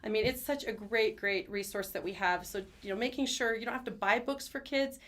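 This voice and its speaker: Fast-talking, enthusiastic woman. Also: mid-range voice, a bit bright. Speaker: schoolteacher as podcast guest. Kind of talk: conversational interview answers. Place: studio.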